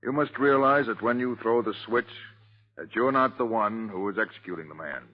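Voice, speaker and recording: Man playing earnest low-voiced prison warden, old radio. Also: normal speed, close to an everyday voice. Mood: solemn. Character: dutiful, earnest male prison warden, jumpy but firm. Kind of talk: 1940s radio drama acting. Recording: muffled old radio recording.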